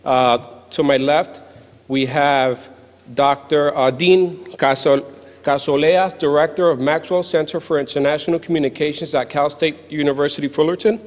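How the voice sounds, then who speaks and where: A man introducing a conference panel as moderator, speaking haltingly with pauses between phrases. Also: on the high side. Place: indoor event hall with microphone. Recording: echoey hall, long reverb.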